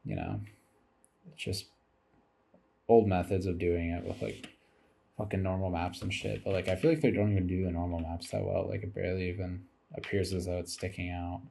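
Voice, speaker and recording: A man with a very low, creaky, husky voice rambles casually as he comments on a game. He sounds tired, muses aloud as he goes and drops in the odd swear word. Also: a little fast, warm tone. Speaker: video creator commenting over game footage.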